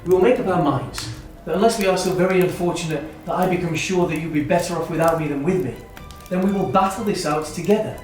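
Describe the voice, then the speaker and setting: Male actor as hopeful, teasing Victorian brother, formal and wordy, lightly high voice, recorded far off. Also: fast talker, hardly any pauses, natural voice. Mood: earnest, hopeful. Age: 30s. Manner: formal, wordy, earnest phrasing. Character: kindly, teasing, hopeful brother in his thirties, Victorian gentleman. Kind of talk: stage-style literary radio drama acting. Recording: very echoey, distant, music behind.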